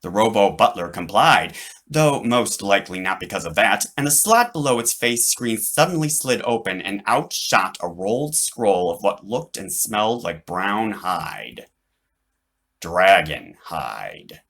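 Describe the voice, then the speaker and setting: A man reading his own children's fantasy story aloud in an animated, dramatic way, drawing out words for emphasis. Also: lowish voice, measured pace.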